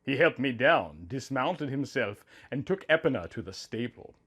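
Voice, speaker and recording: A man reading a romantic story aloud in a dramatic, drawn-out, theatrical manner. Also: medium pitch, very animated, fairly bright tone, breathy edge. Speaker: narrator reading a fan story. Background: background music.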